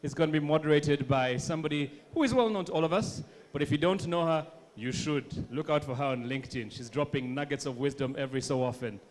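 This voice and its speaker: A man's voice: fairly high pitch, fast, hardly any pauses. A man hosting an event as master of ceremonies and introducing speakers. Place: large conference hall. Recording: echoing hall PA with clear noise.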